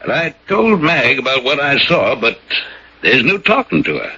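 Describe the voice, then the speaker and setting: Radio drama rustic: sharp, raspy, animated New innkeeper, folksy and earnest, dull broadcast sound. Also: male voice, mid-range voice, normal speed, full character voice. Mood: friendly. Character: plain-spoken, superstitious rural Maine innkeeper, male, deferential.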